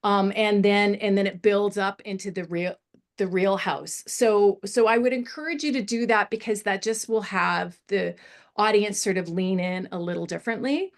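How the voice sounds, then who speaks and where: A middle-aged woman giving casual, animated and encouraging feedback on a screenplay, often wandering off her point. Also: medium pitch, normal speed. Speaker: script feedback panellist.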